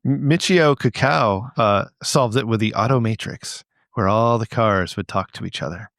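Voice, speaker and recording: A man's voice: fairly low pitch, moderate pace, a bit bright, no echo, studio quality. A man co-hosting a podcast, telling stories in casual comic banter and repeating phrases for emphasis, with some swearing. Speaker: podcast co-host.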